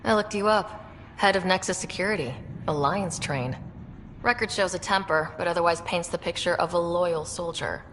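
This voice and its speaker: Calm direct young female game hero, slightly low voice, dry delivery, reverby hall sound. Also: moderate pace, slight background noise. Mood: firm, challenging. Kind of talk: video game cutscene acting.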